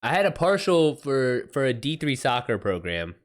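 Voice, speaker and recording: A man talks casually as he hosts a live stream, reading and reacting to his chat. His mid-range voice moves up and down in a very lively way. He is informal, exclamatory and quick to swear. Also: mid-range voice.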